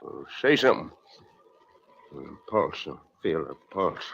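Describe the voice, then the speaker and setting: A man's voice: rumbling low, sing-song, takes it slowly, vocal fry, a bit bright, slightly rough, heavily acted. An actor playing a surly, evasive American farmer and father in an old-time radio drama, muttering terse fragments and barking orders. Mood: panicked, muttering. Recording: muffled, noisy old radio recording.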